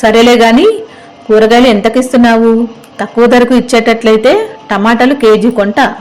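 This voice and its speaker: A woman's voice: fairly high pitch, steady tone, normal speed, darkish tone, natural voice, low-quality recording. A woman narrator playing an inquisitive, thrifty village woman customer in an acted moral story.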